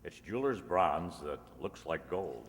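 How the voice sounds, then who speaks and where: An upbeat male radio announcer acted out by a man with a deep voice. He delivers formal, salesy 1940s sponsor copy, polished and upbeat, in a reverberant hall. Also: expressive, measured pace.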